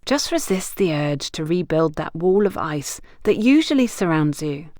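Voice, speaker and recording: A woman's voice: deep voice, very animated, moderate pace, a little mellow, no room sound, studio quality. A woman narrator voicing a frank, teasing female confidante who gives knowing advice in an audiobook. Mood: reflective, advising. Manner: playful, knowing, instructive. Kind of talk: audiobook character dialogue.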